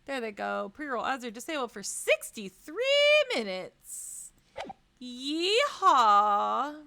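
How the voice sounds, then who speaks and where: A woman hosting a casual game livestream in a playful, expressive way, sounding a little sniffly. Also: high voice, takes it slowly, vocal fry. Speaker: game streamer and host. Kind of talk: casual livestream chat while playing a game.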